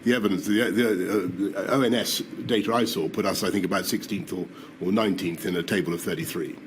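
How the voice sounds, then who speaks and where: Deep-voiced, hesitant man testifying. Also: steady tone, a little fast. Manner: hesitant, politely demurring. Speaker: inquiry witness. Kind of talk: formal testimony. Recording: hearing-room audio with faint noise.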